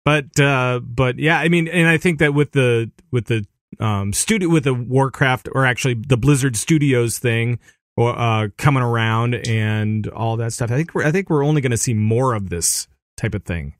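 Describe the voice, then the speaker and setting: A man's voice: medium pitch, moderate pace, dry recording, studio quality. A man hosting a casual podcast in an easygoing way, with frequent fillers and laughs between thoughts.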